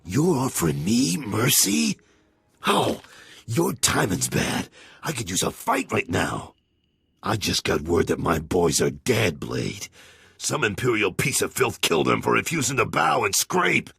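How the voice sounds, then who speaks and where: An actor playing a furious, grieving middle-aged enforcer in a video game, gravelly and raspy, swinging between outbursts and low growls. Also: male voice, big pitch swings, unhurried, dry recording, full character voice. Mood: bitter, grieving. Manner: bitter, explosive, swinging from shouts to low growls. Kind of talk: dramatic video game acting.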